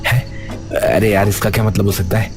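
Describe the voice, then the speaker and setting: A man's voice: fairly low pitch, steady tone, a little fast, soft background music, lightly acted. A man playing an earnest young villager who leads his friends in a cartoon story, speaking casually and urgently. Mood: puzzled, reading.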